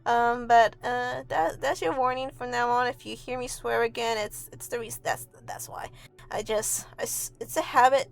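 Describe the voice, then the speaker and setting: A woman's voice: fairly high pitch, fairly even intonation, moderate pace, gravelly. A woman from Mexico, giving a casual, rambling voice-over in an offhand, self-deprecating, sweary way. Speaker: video artist and host. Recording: amateur home recording with music underneath. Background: music.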